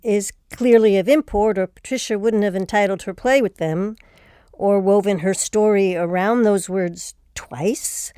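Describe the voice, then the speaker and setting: A woman hosting and moderating a panel discussion with measured questions. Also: mid-range voice, warm tone, smooth, no echo, clean studio sound.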